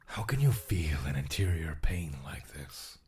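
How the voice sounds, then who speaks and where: An actor playing an otherworldly being who puzzles over his mortal body, questioning slowly and broodingly in an audio drama. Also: very deep voice, sing-song, darkish tone, husky, heavily acted. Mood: brooding, curious.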